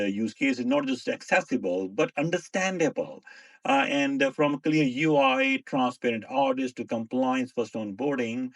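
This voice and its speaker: A man speaking as a tech company executive on a panel in an online audio space. He talks hesitantly and fills his pauses with "uh". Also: mid-range voice, no echo. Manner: hesitant, many uh fillers. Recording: dry, distant online audio call.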